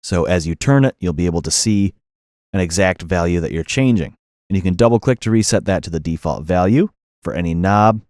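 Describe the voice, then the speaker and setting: Low-pitched man narrating a software tutorial. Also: a little fast, no room sound, clean studio sound. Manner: methodical, explanatory. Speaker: tutorial instructor.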